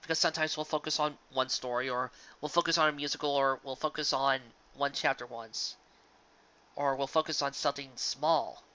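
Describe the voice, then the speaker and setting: A live reader of fan fiction giving earnest commentary to an audience, halting and emphatic, with sentimental delivery and dramatic pauses, on a dull lo-fi home recording. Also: medium pitch, steady tone, bright, forceful tone, smooth.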